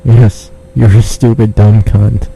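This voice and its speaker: A man's voice: fairly low pitch, level tone, slow, vocal fry, dark tone. A man making a prank phone call, talking in a crude, taunting way and swearing, over a noisy phone line. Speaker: prank caller.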